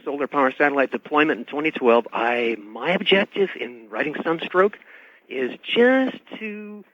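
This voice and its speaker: A man's voice: on the high side, animated, moderate pace, a bit bright, dry recording. A man calling in to a radio show as a guest author, speaking earnestly and politely in formal, hesitant phrases. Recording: dull band-limited radio call audio.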